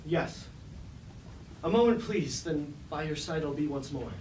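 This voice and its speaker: A man's voice: on the high side, expressive, measured pace, darkish tone. An actor playing a suspicious, anguished robot lieutenant on stage, in theatrical, archaic tragic speech full of resentment for his master. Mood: hushed, shaken. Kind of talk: staged reading of mock Greek tragedy. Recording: roomy, distant mic, faint background noise.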